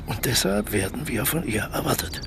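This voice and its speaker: An actor playing a smooth-talking, scheming crook in a radio play, persuasive and cocky as he explains his plans. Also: fairly low pitch, lively intonation, moderate pace, background noise, natural voice. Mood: sly, confident. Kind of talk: naturalistic German radio play dialogue.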